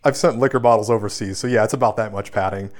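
A man's voice: fairly low pitch, fast talker. A man co-hosting a podcast, casually recapping episodes with lots of ums and loose asides. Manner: loose, filler-heavy recapping. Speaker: podcast co-host.

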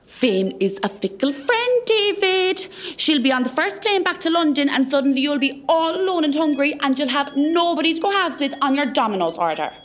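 An actress with an Irish accent playing a sassy, gossipy, self-mocking hospital worker in a comedy radio play. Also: female voice, high-pitched, big pitch swings, moderate pace. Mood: sassy banter. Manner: sassy, dramatic.

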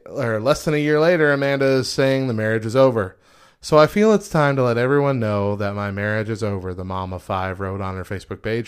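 A man's voice: mid-range voice, clear, resonant tone. A man in his early thirties co-hosting a podcast, bantering and reading headlines aloud with frequent laughs. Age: early 30s. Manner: joking, laughs often. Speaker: podcast co-host.

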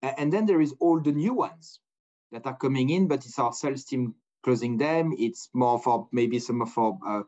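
A man's voice: medium pitch, normal speed, mellow, lo-fi sound. A man hosting a business podcast interview, talking loosely with many restarts and uneven phrasing. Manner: rambling, many restarts, non-native phrasing. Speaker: podcast host.

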